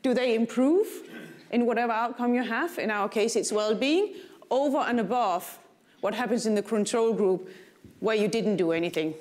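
A woman's voice: fairly high pitch, normal speed, a little mellow. A middle-aged woman with a Danish accent, a native Danish speaker, gives a keynote lecture in a large conference hall on a lapel mic, with dry humor and questions for the audience. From Denmark. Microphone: lapel mic, walking the stage.

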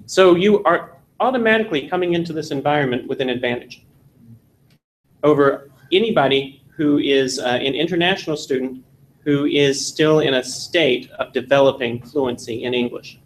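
Texan man lecturing, lively joke-telling. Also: on the high side, moderate pace, slight background noise, rough recording. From Texas. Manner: animated storytelling with jokes, gesturing. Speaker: seminar instructor. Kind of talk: classroom lecture. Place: seminary meeting room, indoors.

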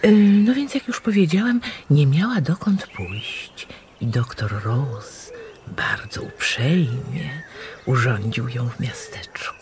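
An actress playing a breezy, gossipy well-to-do sister in a radio drama, chatting expressively. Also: female voice, extremely low pitch, animated, slow pace, background noise, exaggerated voice.

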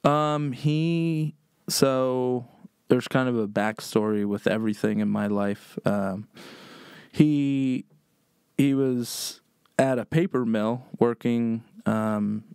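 A man's voice: medium pitch, slow, a little mellow, smooth, no room sound, clean studio sound. A man from Pennsylvania, a chef and podcast guest, telling family stories in a loose, rambling way with many fillers. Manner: rambling, many "um"s, laid-back storyteller. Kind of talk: casual storytelling.

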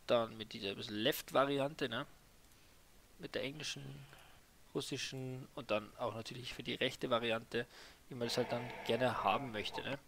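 Gravelly-voiced man commenting on a game. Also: mid-range voice, steady tone, normal speed. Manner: chatty, many fillers and tag questions. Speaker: gaming YouTuber presenting a mod. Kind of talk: casual gameplay commentary. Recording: noisy game sound behind voice. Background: truck engine and game ambience.

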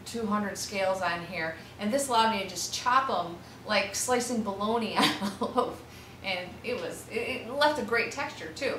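A middle-aged woman giving a casual, chatty product review from her workshop, upbeat and quick to laugh, with plenty of uh fillers. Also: medium pitch, a bit bright, a little hiss or hum. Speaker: prop maker reviewing a tool. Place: home workshop studio, indoors.

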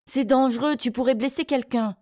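Stern, furious woman scolding children, as voiced by a female narrator, raised pitch, commanding, audiobook drama. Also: fairly even intonation, normal speed, natural voice. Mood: angry, commanding. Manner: sharp, commanding shouting.

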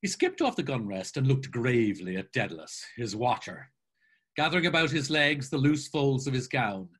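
An older actor reading a novel aloud dramatically over a video call, with expressive, theatrical narration. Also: male voice, medium pitch, moderate pace, breathy edge. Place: home room, video call. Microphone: webcam on video call.

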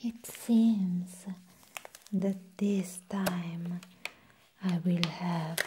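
A woman playing a crazy, playful, hypnotic vampire nurse in a breathy whispered ASMR roleplay, close to the microphone. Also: lowish voice, steady tone, noisy background. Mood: coy, taunting. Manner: slow, drawn-out, teasing delivery.

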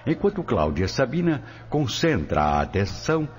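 A man's voice: mid-range voice, sing-song, moderate pace. A man narrating an audio drama in a scripted storytelling style. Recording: faint background noise and ambience.

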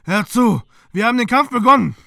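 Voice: mid-range voice, expressive, brisk, creaky, pushed and bright, no echo, clean studio sound. An actor in an anime fan dub voicing a brash teenage boy who is dramatic and easily exasperated. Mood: grim. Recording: music under the voice.

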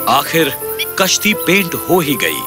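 A man's voice: on the high side, very animated, music in the background. A man narrating a scripted children's fairy tale as storyteller.

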